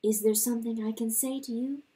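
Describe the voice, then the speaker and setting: A woman voicing a gentle, compassionate hen in a storybook reading, soft, tender, soothing and patient. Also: a little high, fairly even intonation, brisk, slightly rough. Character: gentle compassionate mother-like hen, calm wife.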